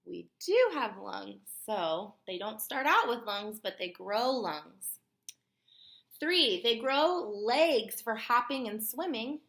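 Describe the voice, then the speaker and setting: A woman reading a children's book aloud like a teacher, expressive and emphatic, pausing to explain and put questions to her young listeners. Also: a little high, vocal fry. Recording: roomy, echoey room sound.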